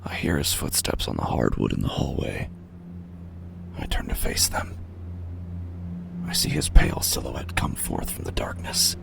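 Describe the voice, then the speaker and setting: Casting: male actor as a cold, violent burglar narrating horror audio drama; extremely low, heavy vocal fry, intense and deliberate. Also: big pitch swings, in a whisper, exaggerated voice. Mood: tense, frozen. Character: cold obsessive burglar turning savagely violent.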